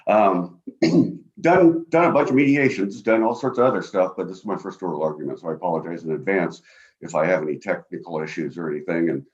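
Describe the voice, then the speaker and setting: Booming deep-voiced man, halting lawyer. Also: a little mellow, dull audio. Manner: halting, frequent fillers. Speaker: attorney. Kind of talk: appellate oral argument. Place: home office. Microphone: video call.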